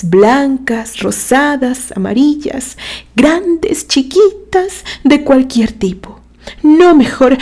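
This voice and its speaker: A woman's voice: high voice, dark tone, voice pushed up, full character voice. Woman acting an eager, lilting young mother. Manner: emphatic, exclaiming, enumerating. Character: ailing young mother, eager, smiling, devoted lady of the house.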